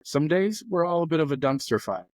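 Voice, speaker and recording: A man's voice: on the high side, lively intonation, fairly quick, no room sound, clean studio sound. A young man hosting a casual podcast interview, upbeat and chatty with a joking touch. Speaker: podcast host.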